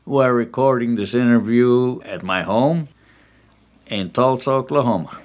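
A man in his eighties from Poland, recalling his early life in a measured, reflective way during an oral history interview at his home. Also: medium pitch. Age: 80s.

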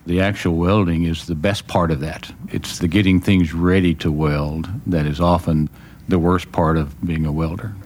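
A man from small-town Texas with a Texan accent, giving plain-spoken, measured recollections as an interview guest. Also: low voice, steady tone, darkish tone. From small-town Texas, United States. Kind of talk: reflective personal recollection in an interview.

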